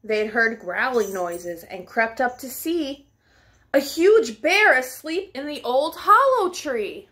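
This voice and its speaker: A young woman reading a children's storybook aloud in an expressive, deliberate, child-directed way. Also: high-pitched. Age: young adult. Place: small furnished living room at home.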